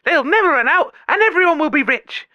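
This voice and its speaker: A man's voice: extremely high pitch, animated, fairly quick, pushed and bright, dry recording, lo-fi sound, voice pushed up, exaggerated voice. A man voicing a dreamy, daft woman caller in a comedy radio sketch. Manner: airy, starry-eyed.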